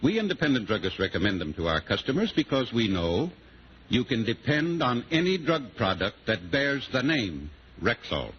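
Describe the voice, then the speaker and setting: A man's voice: medium pitch, big pitch swings, moderate pace, muffled recording, natural voice. A man playing an earnest neighborhood pharmacist with an American accent in a scripted 1940s radio commercial. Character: earnest, dependable neighborhood pharmacist, sponsor spokesman. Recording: band-limited, faintly hissy 1940s radio recording.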